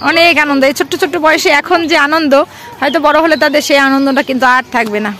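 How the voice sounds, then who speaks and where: A woman's voice: high voice, rapid-fire, bright, forceful tone. A woman with a Bangladeshi accent giving casual vlog commentary outdoors and chatting to children as she goes. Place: outdoors on open grassy ground by a school. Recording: outdoor ambient noise, faint.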